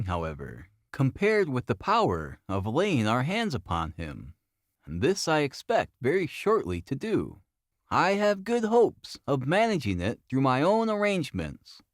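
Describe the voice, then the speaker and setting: A man's voice: on the high side, dry recording, studio quality. A man voicing a shrewd, commanding detective in an audiobook, speaking precisely and formally with great self-assurance. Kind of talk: audiobook character dialogue.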